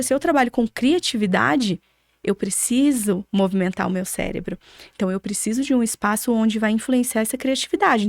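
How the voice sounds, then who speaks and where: A woman's voice: a little high, a little mellow, no room sound, studio quality. A woman with a Brazilian Portuguese accent explaining things didactically, with examples, as an interview guest. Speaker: podcast guest, architect.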